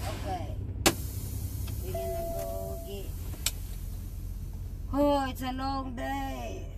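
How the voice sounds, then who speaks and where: A woman's voice: sits high, very slow. An older woman from the Philippines, a truck driver talking to herself casually while driving, filmed in her cab. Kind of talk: casual self-filmed commentary. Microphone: phone or dash camera in the cab. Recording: loud road noise in cab. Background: road and engine noise.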